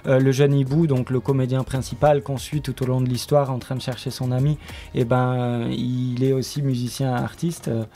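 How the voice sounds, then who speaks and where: A man giving an unscripted radio interview as a guest, explaining his production in a hesitant, halting way. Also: medium pitch, flat delivery, dark tone, faint music behind.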